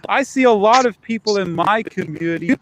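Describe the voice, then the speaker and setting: A man's voice: high voice, a little fast, fairly bright tone, slight background noise, poor audio. A man talking frankly and chattily in a casual call discussion, often agreeing with the others.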